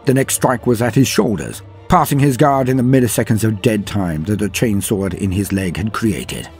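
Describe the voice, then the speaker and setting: A man narrating a scripted story in a dramatic, grave, measured manner with pauses for effect, his voice husky. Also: lowish voice, darkish tone. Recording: music behind the voice. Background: background music.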